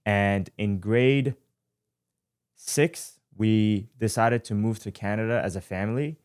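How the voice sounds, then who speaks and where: A man in his twenties from Iran, telling his life story in a relaxed, reflective way as host of his own show, often pausing and correcting himself. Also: fairly low pitch, smooth, no room sound, clean studio sound. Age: 20s. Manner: reflective, with frequent fillers and self-corrections. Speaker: podcast host. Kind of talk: casual personal storytelling. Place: studio.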